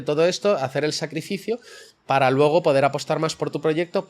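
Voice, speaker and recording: A young adult man speaks with a central Spanish accent from the Madrid area. He is an interview guest talking conversationally. His answers flow at length and explain things as he goes, in a mid-pitched voice. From Madrid area, Spain. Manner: explanatory, long flowing answers. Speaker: interview guest, online fitness coach.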